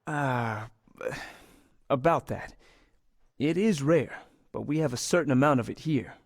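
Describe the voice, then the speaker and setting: A man's voice: mid-range voice, a little slow, no echo, studio quality. An actor playing an earnest university scientist in a video game, polite and a little hesitant as he explains things. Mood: worried. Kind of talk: video game dialogue acting.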